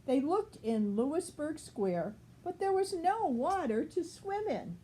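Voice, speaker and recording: A woman's voice: high-pitched, sing-song, unhurried, warm tone, slight background noise, rough recording. An older woman reading a picture book aloud as a grandmother, explaining things simply for a child with casual asides.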